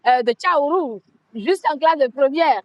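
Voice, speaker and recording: A woman from Benin, her voice on the high side. She speaks earnestly, leaning on repeated phrases as she recounts her past. The recording is dry and close. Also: animated, unhurried, dry recording, lo-fi sound. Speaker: guest, activist and coach. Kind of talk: conversational storytelling.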